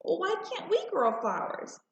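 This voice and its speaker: A woman voicing an excitable, doubtful little girl in a children's storybook, with an eager, whiny way of asking. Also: extremely high pitch, slow, voice pushed up, exaggerated voice. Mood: puzzled, whiny. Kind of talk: children's storybook read-aloud. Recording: roomy, slightly muffled home recording.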